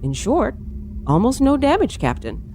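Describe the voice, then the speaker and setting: An alert bridge officer with dry humor, played by a woman. Her voice is fairly high and very animated, in sci-fi audio drama style, with music playing behind. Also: moderate pace, heavily acted. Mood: dry, doubtful. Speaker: starship operations officer. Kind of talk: fan audio drama acting.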